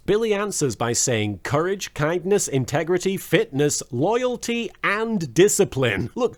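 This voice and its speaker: A man narrating a comedic scripted episode recap as a video host, full of wry, sarcastic asides. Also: on the high side.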